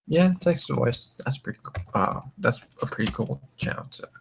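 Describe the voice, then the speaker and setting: A man's voice: mid-range voice, expressive, normal speed, gravelly, a little hiss or hum. A young man from the American Mountain Time zone hosting a group voice call, tipsy, rambling and swearing, stumbling over his words. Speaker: voice call host. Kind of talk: casual group voice chat.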